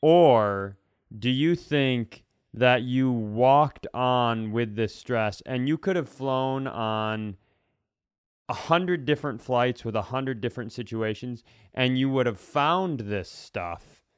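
A man's voice: mid-range voice, slow pace, clean tone, no echo, studio quality. An American man co-hosting a podcast, talking casually and rewording his thoughts as he goes. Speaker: podcast co-host.

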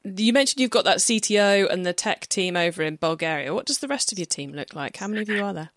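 A podcast host interviewing a guest, upbeat, reacting quickly and adding friendly asides. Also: medium pitch, lively intonation, fairly bright tone, no echo, clean studio sound.

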